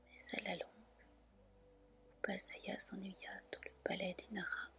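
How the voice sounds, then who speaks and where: A woman reading folk tales as ASMR in a soft, breathy whisper, telling them gently and carefully. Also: mid-range voice, little pitch movement, a little slow. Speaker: ASMR storyteller, channel host.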